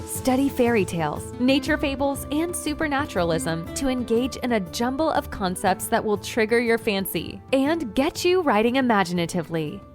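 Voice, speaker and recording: A woman's voice: fairly high pitch, animated, normal speed, hardly any pauses. A woman reading a scripted promotional introduction for a podcast as its announcer. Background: music.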